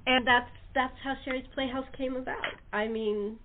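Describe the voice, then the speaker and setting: A woman's voice: high-pitched, steady tone, moderate pace. A woman hosting an unscripted group chat, speaking in a rambling way and often restarting her own sentences. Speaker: talk show host. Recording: tinny narrow phone line.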